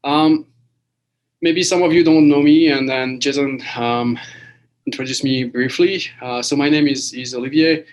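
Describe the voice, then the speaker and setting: A man's voice: mid-range voice, steady tone, a little slow, lo-fi sound. A man from France, giving a webinar lecture over a video call, with many fillers and restarts. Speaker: guest lecturer.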